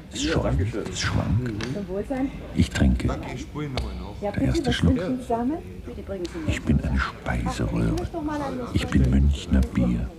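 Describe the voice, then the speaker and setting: An actor playing a grumbling, brooding director who mutters a sardonic, literary interior monologue in a radio play. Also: male voice, rumbling low, exaggerated voice. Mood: wry, musing.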